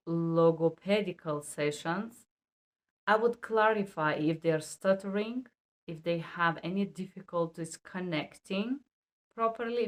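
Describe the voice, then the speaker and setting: A woman's voice: fairly low pitch, a bit bright. A woman who works as a medical and legal interpreter, teaching to camera in emphatic, non-native English and bluntly repeating key rules. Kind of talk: instructional talk to camera.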